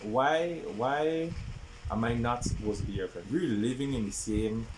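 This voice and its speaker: A man's voice: medium pitch, unhurried, background noise. A young man chatting casually as a podcast co-host, repeating words for emphasis. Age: young adult. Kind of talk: casual chat.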